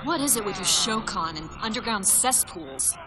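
A woman playing a tough, sardonic soldier with a brisk way of talking in a video game. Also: medium pitch, expressive, a loud crowd, heavy background noise, lightly acted. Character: tough, wry female soldier. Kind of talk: video game action acting.

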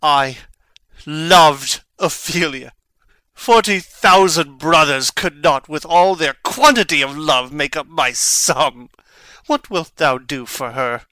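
Guy playing a brooding young prince in shakespeare, bright voice a bit high, very slow and theatrical. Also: expressive, no echo, heavily acted. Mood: impassioned, defiant. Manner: theatrical, deliberate declamation. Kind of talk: dramatic Shakespeare audiobook reading.

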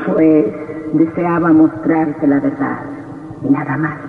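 An actor playing Death as a solemn, cryptic reaper in a radio horror drama, pronouncing each phrase gravely. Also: male voice, a little high, steady tone, slow pace, distant mic, over music. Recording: echoing hall, muffled, faintly noisy old radio.

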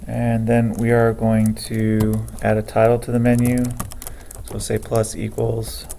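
Man narrating a coding screencast: flat, gravelly, unhurried, light background hiss. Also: lowish voice. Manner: slow, methodical, thinking aloud while typing. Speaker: programming teacher. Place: at a computer, keyboard typing heard.

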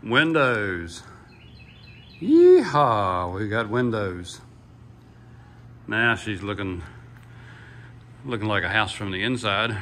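Older man, folksy homeowner narrating a phone walkthrough, bright voice, chatty with fillers. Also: lowish voice, big pitch swings, slow pace, long pauses, slight background noise. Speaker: vlogger touring his house build. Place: unfinished wooden house frame, indoors and on outdoor steps. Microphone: phone camera held by the speaker.

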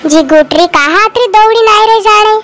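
A woman's voice: very high-pitched, moderate pace, a bit bright. A woman voicing a bossy, nagging village girl in a cartoon comedy, scolding and urging others along.

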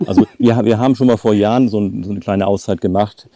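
Male travel vlogger, late 50s, casual delivery, very dark soft-edged tone, dry outdoor sound. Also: lowish voice, steady tone, quick pace, no room sound. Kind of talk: casual chat to camera. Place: outdoors by a lake.

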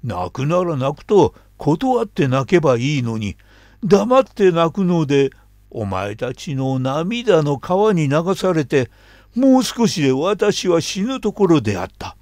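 A man's voice: fairly high pitch, very animated, a little slow, darkish tone, no echo, studio quality. An old man doing a haughty scolding snake. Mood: indignant. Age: 70s. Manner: scolding, condescending. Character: haughty mocking male habu snake.

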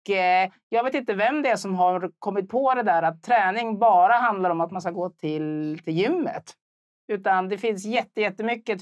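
A middle-aged woman with a Swedish accent speaks in a mid-range voice, chatty and animated. She sits at a table in a dark studio set and talks into a clip-on mic, with an easy laugh never far away. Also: mid-range voice, muffled recording. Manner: lively, chatty, laughs easily. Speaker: guest. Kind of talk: casual chat. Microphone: lapel.

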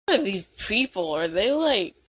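A woman voicing a bossy, stern orphanage principal creature in an amateur cartoon dub, haughty and disapproving with a halting delivery. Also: mid-range voice, very animated, husky.

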